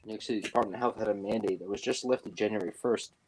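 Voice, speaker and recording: Young male guest, low husky voice, rambling casual talker. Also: fairly even intonation, quick pace, a little mellow, low-quality recording. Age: young adult. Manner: rambling, lots of fillers and restarts. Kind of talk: casual chat. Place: New York.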